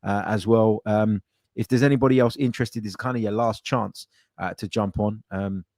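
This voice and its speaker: Male podcast host with a low voice and a dry home-office sound, casual and chatty. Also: fairly even intonation, normal speed, a little mellow, dry recording. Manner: conversational, chatty, with frequent fillers and restarts. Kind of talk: casual call-in podcast chat.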